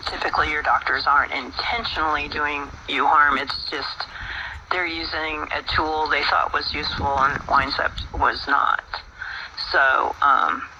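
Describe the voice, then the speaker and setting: A man with a high, gravelly voice holds forth on his own radio health show. He talks in a folksy, preachy way, full of little fillers, and the broadcast carries a noisy hiss. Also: steady tone, measured pace, lo-fi sound. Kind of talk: casual talk radio monologue.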